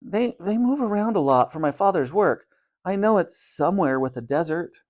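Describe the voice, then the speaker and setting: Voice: medium pitch, animated, moderate pace, a little mellow, voice pushed up, natural voice. Acted by a storyteller young schoolboy, timid, polite, hesitant, pleading, storybook character.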